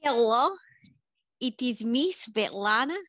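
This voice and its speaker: Woman doing a put-on Eastern European accent, blunt caller, broken English, very slow, slightly high voice. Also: no room sound, clean studio sound, heavily acted. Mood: deadpan blunt. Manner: slow, broken English with many "uh" pauses, deadpan. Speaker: comedy podcast caller.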